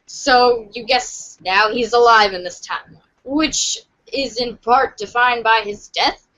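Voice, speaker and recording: A woman's voice: on the high side, unhurried, a bit bright, poor audio. A woman reading second-person comic narration aloud for a podcast. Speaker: reader in a webcomic reading podcast.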